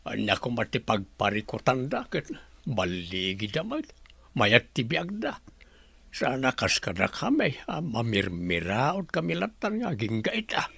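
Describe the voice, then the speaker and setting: An actor playing a troubled grown son in an Ilocano radio drama, speaking earnestly and emotionally. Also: male voice, medium pitch, big pitch swings, a little slow, gravelly, sharp tone, slightly rough, no echo, exaggerated voice. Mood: puzzled. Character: troubled, remorseful grown son of a village family.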